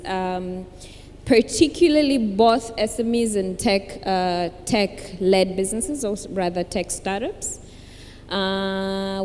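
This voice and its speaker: Woman, friendly panel talk, halting, hall reverb, faint rumble. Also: a little mellow. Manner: friendly, many fillers and restarts. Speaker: panelist, founder of an organization. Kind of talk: panel introduction. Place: conference hall with audience.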